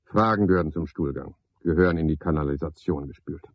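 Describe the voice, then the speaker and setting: An actor playing a garrulous, pompous, sardonic porter at a boarding house, with a husky voice, in a radio play monologue. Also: male voice, very deep voice, quick pace, dull audio, very noisy. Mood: musing, weary. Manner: rambling, pompous monologue with mocking asides. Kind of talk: 1970s German radio play acting.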